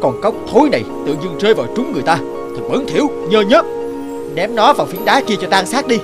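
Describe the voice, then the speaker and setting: A man's voice: high voice, big pitch swings, fast, music in the background. A man voicing an arrogant, bullying young prince with a mocking manner in a cartoon. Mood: scornful. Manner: mocking, scornful.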